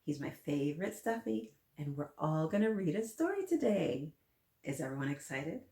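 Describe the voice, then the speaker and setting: A woman's voice: low voice, sing-song, normal speed, warm tone. A woman hosting a story time for young children, reading aloud and chatting to them with encouraging, simple questions. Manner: encouraging, addresses listeners directly with simple questions. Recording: roomy, echoing room sound.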